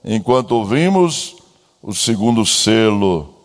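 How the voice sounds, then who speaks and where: A man with a Brazilian accent preaching as a pastor, solemn and deliberate, with emphatic phrasing. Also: on the low side, lively intonation. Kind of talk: church sermon with a read-out letter. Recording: long echoing hall reverb.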